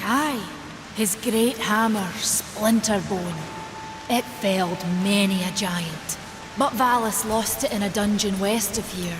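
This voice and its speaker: Casting: actress as wise, steady fantasy game elder-sister type, Scottish, medium pitch, earnest delivery in a large hall. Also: female voice, close to an everyday voice. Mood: solemn. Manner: measured, earnest. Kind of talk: dramatic video game cutscene acting. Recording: long hall reverb.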